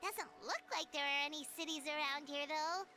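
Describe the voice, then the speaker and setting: A woman voicing a small, excitable childlike sprite companion in a game, with a squeaky, chirpy delivery. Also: moderate pace, close to an everyday voice. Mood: thoughtful. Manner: chirpy, speaks of self in third person.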